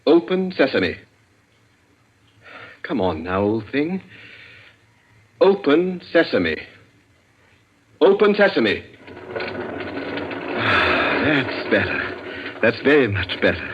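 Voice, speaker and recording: An actor playing a secretive, theatrical gentleman in a criminal society, in old-time radio drama style. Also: mid-range voice, big pitch swings, takes it slowly, pauses often. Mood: coaxing, urgent. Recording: dull, slightly noisy vintage radio recording.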